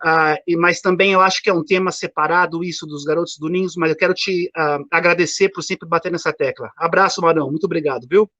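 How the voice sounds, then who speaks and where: Man, fifties, caller, rambling, high voice, dry dull call audio. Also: steady tone, quick pace, few pauses, bright, forceful tone, no room sound. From São Paulo, Brazil. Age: 50s. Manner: rambling, many fillers and restarts. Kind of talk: casual chat. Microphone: remote call.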